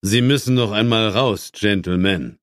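Man putting on a stern, formal gentleman boss. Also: lowish voice, a little slow, no room sound, clean studio sound. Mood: formal, insistent. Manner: formal, insistent. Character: stern, demanding English gentleman police chief.

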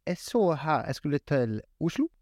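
A man co-hosting a podcast in casual, humorous chat, halting and often correcting himself mid-sentence. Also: a little high, sing-song, darkish tone, no room sound, clean studio sound. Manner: halting, self-correcting, stammering repeats. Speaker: podcast co-host.

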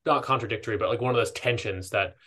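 Podcast host guy, kinda low voice but bright and punchy, thoughtful, keeps stopping to rephrase. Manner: halting, thoughtful, hedges and rephrases ideas. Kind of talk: casual reflective podcast conversation.

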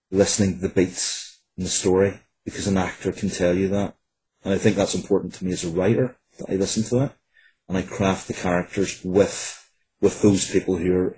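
A man from the UK with a low, very husky voice talks casually in an interview. He is an earnest, enthusiastic writer who circles back and repeats phrases as he explains himself. The tone is dark and mellow. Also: poor audio. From United Kingdom. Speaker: writer and guest.

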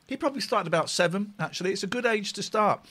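Middle-aged English man, very animated intonation. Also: sits high, fast talker. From England. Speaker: host of a live phone-in stream. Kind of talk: casual live chat with callers.

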